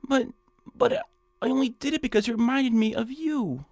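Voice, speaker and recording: A henpecked nebbish, whiny and stammering, his creaky voice caught close and dry. He sounds timid and apologetic, a put-upon little man in a radio comedy. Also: very high-pitched, normal speed, a little mellow, no echo. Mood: flustered pleading. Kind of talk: comic radio drama acting.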